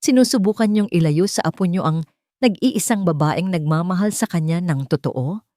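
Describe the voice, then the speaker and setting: A woman's voice: fairly low pitch, moderate pace, mellow, dry recording, clean studio sound, lightly acted. A woman voicing a betrayed, furious father who confronts his mother-in-law in a cold, menacing, accusing way. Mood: furious accusation.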